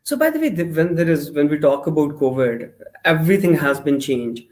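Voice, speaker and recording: Man, podcast host, higher voice, long halting questions, restarts. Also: lively intonation, darkish tone. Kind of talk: podcast interview.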